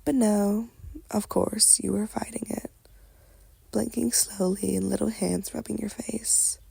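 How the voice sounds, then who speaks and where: A woman softly narrating an ASMR story in a breathy, crackly whisper. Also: medium pitch, little pitch movement, measured pace, no echo.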